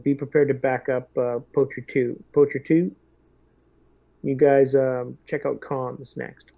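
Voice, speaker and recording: Gravelly man giving squad orders in a game. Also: medium pitch, level tone, unhurried, no echo, highs cut off. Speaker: tabletop game player acting as squad leader. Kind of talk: casual in-character game talk.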